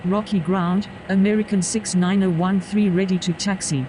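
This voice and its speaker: High-pitched male pilot played by a man, polite procedural radio delivery, clear vehicle noise. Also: steady tone, normal speed, natural voice. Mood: businesslike. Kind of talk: procedural air traffic radio calls.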